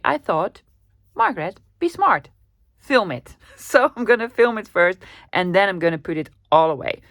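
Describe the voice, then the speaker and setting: A woman's voice: mid-range voice, no echo, studio quality. A woman from the Netherlands with a Dutch accent, chatting upbeat and casually in a crafting haul video, with small laughs and ums. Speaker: crafting vlogger. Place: home room at the end of the day.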